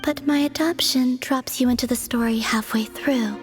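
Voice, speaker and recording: A woman voicing a solemn young moon goddess in a video game, soft and breathy, with a poetic, detached delivery. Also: high-pitched, fairly even intonation, lightly acted. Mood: wistful, melancholy. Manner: slow, poetic, measured. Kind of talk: English video game voice acting. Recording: game music behind the voice. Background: background music.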